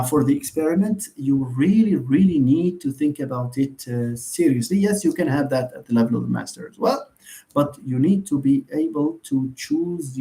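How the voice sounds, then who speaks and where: Man, Moroccan accent, native Moroccan Arabic speaker, lecturer, warm dark tone, hesitant, fills pauses, asks and answers himself. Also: medium pitch, rough recording. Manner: hesitant, with frequent fillers and restarts, asks and answers his own questions. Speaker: university lecturer. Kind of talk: answering students' questions in a live online session.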